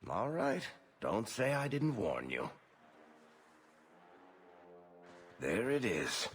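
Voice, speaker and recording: An actor playing a roguish, wry dwarf storyteller in a video game, with a dry, sardonic way of joking. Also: male voice, lowish voice, huge pitch swings, unhurried, big-room sound, faint music behind. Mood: wry, explaining.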